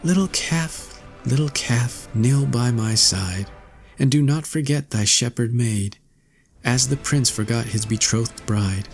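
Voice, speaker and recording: A gentle young fairy-tale maiden, voiced by a man, speaks in a raised, tender pitch. The delivery is plaintive and faithful, with old-fashioned wording. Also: takes it slowly, soft background music. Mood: sorrowful, plaintive. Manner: tender, plaintive, archaic phrasing.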